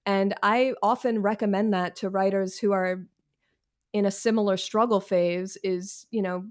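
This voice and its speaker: A woman in her thirties speaks in a middle-pitched voice as a guest in a candid conversation. She is frank and slangy, with a self-deprecating way of putting things. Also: steady tone, a little slow. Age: 30s. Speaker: author, podcast guest.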